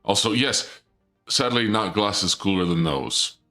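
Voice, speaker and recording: A sniffly man with a low voice chats away to his viewers while streaming a game from home, tripping over his words as he goes. He hams up a mock dark-lord persona, and soft music plays faintly behind him. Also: unhurried. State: sniffly from a cold. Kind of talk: casual live-stream chat.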